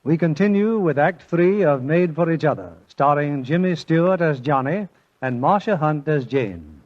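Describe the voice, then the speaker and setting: A man's voice: a little high, animated, normal speed. An American man hosting and narrating a 1940s radio drama in a solemn, scripted style. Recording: dull vintage radio broadcast with music.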